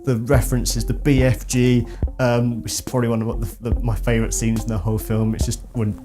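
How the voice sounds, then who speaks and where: A man's voice: mid-range voice, completely flat delivery, a little fast. A man hosting a video game channel, giving casual, rambling opinions in an informal, meandering way. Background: background music with guitar.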